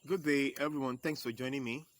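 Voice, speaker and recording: A man with a Yoruba accent teaching a home video lesson, going slowly and repeating each key term step by step. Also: mid-range voice, steady tone. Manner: slow, repeats key terms, explains step by step. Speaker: percussion teacher.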